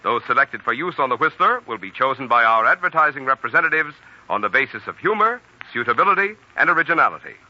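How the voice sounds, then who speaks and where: A man's voice: medium pitch, lively intonation, fast talker. A man giving scripted announcements in 1940s radio style, formal and emphatic, with careful phrasing. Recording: dull old radio recording. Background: music.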